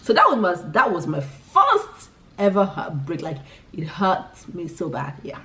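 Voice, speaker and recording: A woman's voice: on the low side, huge pitch swings, a little slow, sharp tone, recorded from a distance, slight background noise. A young Nigerian woman, Igbo by birth, chatting casually through a list of questions for her vlog, giggling and often restarting her sentences. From Nigeria, Imo State. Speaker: vlogger. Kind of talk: casual chat answering a tag list.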